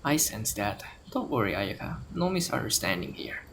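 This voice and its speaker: Low, fry-heavy female voice, casual game dialogue. Lively intonation; music underneath. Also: moderate pace, a crowd in the background.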